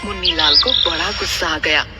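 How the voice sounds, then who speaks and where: A woman's voice: low-pitched, normal speed. A woman narrating a moral story as a scripted storyteller. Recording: loud music behind the voice. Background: music.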